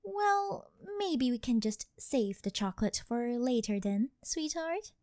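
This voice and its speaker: A woman's voice: fairly high pitch, lively intonation, measured pace, darkish tone, no room sound, studio quality. A woman playing a rich, possessive, doting older girlfriend in an ASMR roleplay, coy, cutesy and teasing as she sweet-talks. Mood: doting, coaxing.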